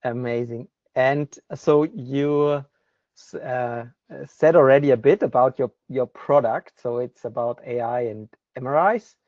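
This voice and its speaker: A man hosting a podcast who asks his questions in a relaxed, slightly hesitant way, with frequent pauses. His voice sits in a middle range. The sound is dry and somewhat dull. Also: medium pitch, no echo. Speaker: podcast host. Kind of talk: interview questions.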